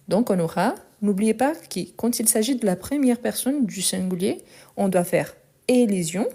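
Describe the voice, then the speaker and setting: A woman teaching French in a live online lesson, speaking slowly and clearly and repeating phrases for learners. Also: mid-range voice, dark tone. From France and Brazil.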